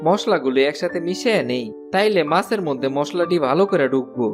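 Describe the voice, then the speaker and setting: A kindly woodcutter shopkeeper, played by a man in a cartoon dub. The voice sits fairly high for a man, earnest and plain-spoken, and music plays clearly behind it. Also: lively intonation, a little fast, lightly acted. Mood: firm. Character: humble kind-hearted male woodcutter shopkeeper. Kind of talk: cartoon dubbing. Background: background music.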